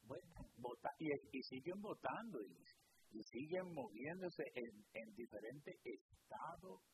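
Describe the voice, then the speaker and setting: A man's voice: a little high, sing-song, slow, a little hiss or hum, poor audio. A man from Puerto Rico with a Puerto Rican accent, a pastor and bishop speaking as a radio guest, emphatic and repeating words for stress. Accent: Puerto Rican Spanish. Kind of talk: preaching-style radio talk.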